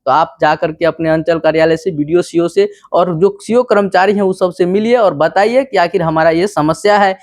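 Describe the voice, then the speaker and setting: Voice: medium pitch, no room sound. Bihari news explainer, close dry sound. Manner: didactic, repeatedly addressing the listener. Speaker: presenter explaining government news. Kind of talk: informal news explainer, reading out clippings and commenting.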